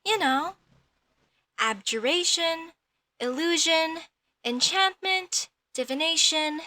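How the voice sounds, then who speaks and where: A woman playing a curious, outspoken young female elf mage in a comedic audio roleplay, questioning things in a halting, slightly haughty way. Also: extremely high pitch, animated, pushed and bright, no room sound, full character voice. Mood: matter-of-fact.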